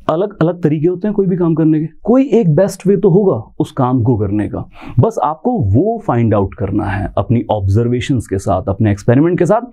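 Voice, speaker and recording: A man's voice: a little high, warm tone. A man in his thirties with an Indian accent, lecturing in an explanatory, conversational way with emphasis and rhetorical questions, mixing in English terms. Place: indoor teaching studio in front of a screen.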